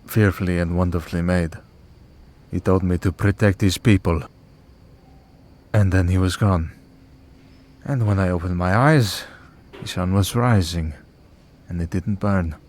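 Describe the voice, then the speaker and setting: A man playing a weary, devout and gentle vampire hunter priest in an ASMR roleplay, speaking in a measured, solemn way with pauses. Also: deep voice. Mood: solemn, reflective. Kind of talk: ASMR roleplay audio drama.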